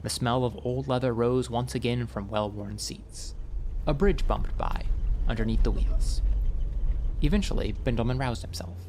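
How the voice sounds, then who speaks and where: A man narrating a scripted audiobook story in a wry, expressive storytelling manner. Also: medium pitch, moderate pace, slight background noise.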